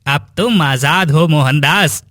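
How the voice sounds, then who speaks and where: A man voicing a calm royal soldier in a cartoon moral story. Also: fairly high pitch, slow. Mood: matter-of-fact.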